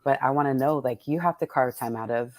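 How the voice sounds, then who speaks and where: A woman with a strikingly low voice and an American accent, recorded dry and close to silent in room sound. She hosts in a loose, unscripted style, effusive, slangy and freely profane. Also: fairly quick, darkish tone, no room sound. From born in Texas, United States. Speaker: host of a poets' table reading. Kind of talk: casual, unscripted conversation with fellow poets.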